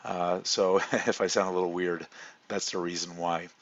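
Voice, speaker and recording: A hoarse man with a fairly low voice talks students through a course introduction in a loose, unhurried way, full of ums and uhs. The recording is dull and lo-fi, like a computer mic capturing a screen walkthrough. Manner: relaxed, rambling, many fillers. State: hoarse from a cold. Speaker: chemistry instructor.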